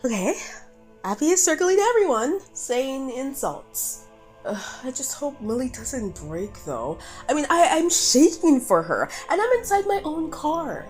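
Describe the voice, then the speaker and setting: A woman's voice: high voice, big pitch swings, a little slow, a little mellow, soft background music. A woman acting a chatty, dramatic dance mom in a voiced roleplay series. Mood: anxious, gossipy.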